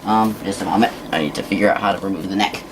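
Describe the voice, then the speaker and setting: A man giving an unscripted hands-on demonstration in a halting, casual mutter as he works, on an amateur recording. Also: low voice, background noise. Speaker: presenter of a hands-on science demonstration.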